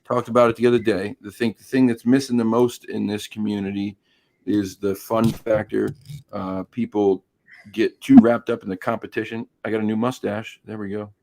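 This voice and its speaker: A man with a low, gravelly voice hosts a live-stream chat, his throat hoarse and worn. He talks in a friendly, rambling way and calls out viewers by name. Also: little pitch movement, normal speed, dark tone, a little hiss or hum, rough recording. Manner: chatty, greets viewers by name.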